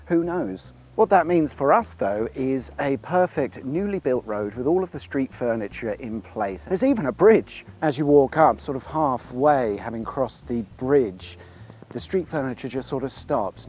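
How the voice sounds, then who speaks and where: A man's voice: mid-range voice, a little mellow. An English man in his thirties with a dry, sardonic manner, presenting and narrating from a script. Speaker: presenter of an exploration series. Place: outdoors on an empty open road.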